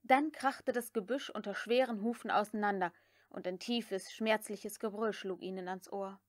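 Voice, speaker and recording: A woman's voice: mid-range voice, fairly even intonation, normal speed, dry recording, studio quality. A woman reading literary prose as an audiobook narrator.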